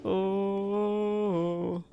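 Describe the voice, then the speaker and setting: A woman's voice: mid-range voice, level tone, very slow, warm tone, muffled recording, faint music behind. A young woman doing casual, playful let's play commentary with a dramatic, emotive way of talking. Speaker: gaming streamer. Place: indoors over game audio.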